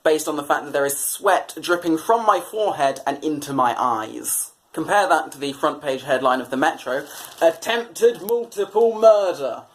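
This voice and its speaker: A man's voice: fairly high pitch, normal speed. A British man presenting satirical commentary on newspapers in a sardonic, mock-exasperated way. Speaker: comedy presenter.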